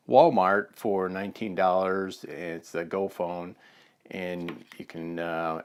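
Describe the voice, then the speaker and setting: An American man in his fifties giving a plain, halting instructional product demonstration on a lapel mic in a studio. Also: deep voice. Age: 50s.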